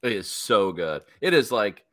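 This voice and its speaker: A man's voice: fairly low pitch, big pitch swings, a little slow, pushed and bright, a little hiss or hum. A man hosting a casual music podcast, leading the segments with an upbeat manner. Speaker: podcast host.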